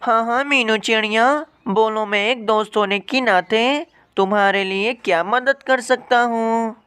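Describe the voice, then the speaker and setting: Man acting out kindly cartoon parrot, male, raised pitch, reassuring, smooth and clear. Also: a little slow, sharp tone. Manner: obliging, reassuring. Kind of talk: cartoon moral story acting.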